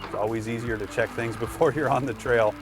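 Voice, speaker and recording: A man's voice: mid-range voice, steady tone, brisk, over music. A man presenting product tips in a casual, conversational instructional style, talking directly to the viewer.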